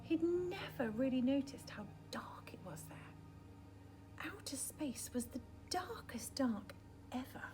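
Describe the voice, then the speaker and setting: Woman, high voice, expressive storybook reading for children, dramatic hushed moments, background music. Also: takes it slowly, in a whisper. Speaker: primary school teacher reading a picture book aloud.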